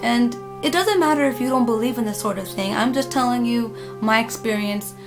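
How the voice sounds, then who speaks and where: High-voiced woman telling a story over music. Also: fairly quick. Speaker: storytime vlogger. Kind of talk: casual personal storytelling of a spooky experience. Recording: music playing behind the voice. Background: background music.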